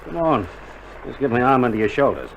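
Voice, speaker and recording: An actor playing a caring, no-nonsense Australian army medic in a radio drama, coaxing and grumbling, on an old vinyl recording. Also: male voice, medium pitch, expressive, fairly quick, vocal fry, highs cut off, a little hiss or hum. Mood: coaxing, urgent. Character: caring, no-nonsense army medic soldier tending a sick mate.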